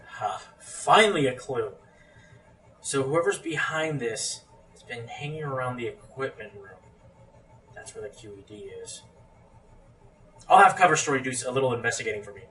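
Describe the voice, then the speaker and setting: A man's voice: mid-range voice, animated, moderate pace, long pauses, fairly bright tone, distant mic, natural voice, poor audio. A man in a radio play voicing an earnest, exasperated pony radio host playing amateur sleuth. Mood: earnest, puzzled.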